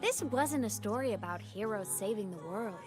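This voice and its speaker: A woman's voice: mid-range voice, expressive, normal speed, natural voice. A woman voicing a reflective, sincere young heroine in video game story dialogue. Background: game music.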